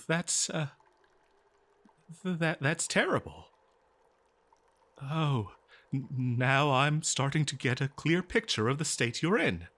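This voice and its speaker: A man's voice: a little high, a bit bright, exaggerated voice. A man playing an eager, kindly archaeologist in a fantasy audio roleplay, speaking in a hesitant, stammering, scholarly way straight to the listener. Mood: sympathetic, halting. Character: eager, kindly male archaeologist befriending a lonely monster. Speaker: audio drama performer. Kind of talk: scripted fantasy audio roleplay, one-sided dialogue to listener.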